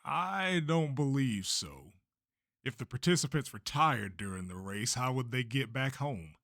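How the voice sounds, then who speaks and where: A man playing a showy, unflappable race promoter who speaks grandly and deliberately, in an amateur manga dub. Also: medium pitch, lively intonation, a bit bright, no room sound, studio quality. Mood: measured, assured.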